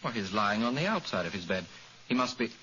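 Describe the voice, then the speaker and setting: A gentleman in an old radio mystery. His low voice is animated and comes through clear hiss. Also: brisk, muffled recording. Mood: shaken. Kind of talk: 1940s radio acting.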